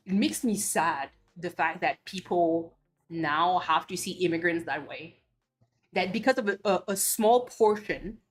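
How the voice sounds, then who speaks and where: A woman from Belgium, a streamer chatting casually and ranting bluntly with cursing and many restarts. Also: medium pitch. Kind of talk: casual chat.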